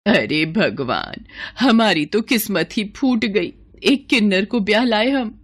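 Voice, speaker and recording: A woman's voice: medium pitch, animated, a little slow. Woman acting a scornful, wailing older mother-in-law. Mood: shocked wailing. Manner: dramatic wailing, scolding.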